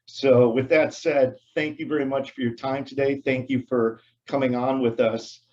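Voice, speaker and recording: A male interview host asking questions in a friendly, halting way with many fillers. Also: mid-range voice, fairly even intonation, a little mellow, dull audio.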